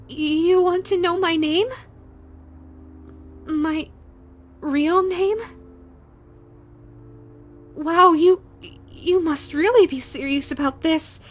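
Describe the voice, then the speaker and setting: A woman plays a shy, self-loathing ghost woman in a romantic audio drama. Her voice is high and slow, stammering and tender, with music playing behind her. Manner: stammering, hesitant, halting with repeated words. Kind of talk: romantic audio roleplay addressed to listener.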